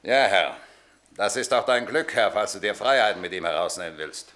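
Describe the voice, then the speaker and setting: An actor playing a gruff, scornful Roman centurion, blunt and sardonic, in a German radio drama. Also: male voice, fairly low pitch, lively intonation, brisk, a bit bright. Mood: dry, sardonic.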